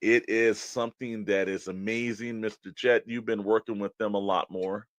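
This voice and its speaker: A man co-hosting a podcast, chatting casually and pitching straight to the listeners in a conversational way. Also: steady tone, normal speed, dry recording. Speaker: podcast co-host. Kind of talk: casual promotional podcast chat.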